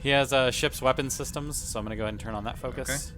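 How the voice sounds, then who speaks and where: A man's voice: mid-range voice, steady tone, quick pace. A man talking casually through rules and moves as a player in a tabletop roleplaying game.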